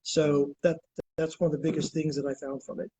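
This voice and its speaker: Husky high-voiced Greek-born man in his 60s. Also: little pitch movement, fairly quick, dark tone, poor audio. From Greece. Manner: earnest, lecturing step by step. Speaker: guest. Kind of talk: explanatory interview answers.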